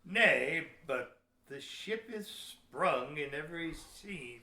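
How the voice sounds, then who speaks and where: An actor playing a cunning, gruff seventeenth-century English ship's captain in an audio play, declaiming emphatically in archaic speech. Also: male voice, on the high side, expressive, slow, sharp tone, heavily acted. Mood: grave, insistent. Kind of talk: old-fashioned radio play acting. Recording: roomy, echoey audio drama recording.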